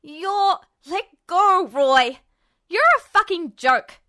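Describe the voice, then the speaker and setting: Woman acting a high-pitched, furious young boy. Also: lively intonation, slow, full character voice. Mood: furious, scornful. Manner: shouting, taunting, sweary. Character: furious, defiant, mocking twelve-year-old boy.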